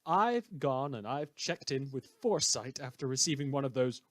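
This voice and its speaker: A man's voice: medium pitch, animated, normal speed, fairly bright tone, dry recording, lightly acted. A man playing an opinionated, sardonic radio host delivering an indignant monologue in a fictional radio drama. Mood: wry. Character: opinionated male radio host ranting about corrupt heroes.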